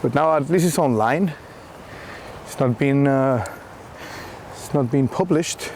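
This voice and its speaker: A man with a Venezuelan accent chatting on an outdoor walk, halting and searching for words, laughing often. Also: medium pitch, darkish tone, a little hiss or hum. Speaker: guest, co-worker. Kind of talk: casual walking chat.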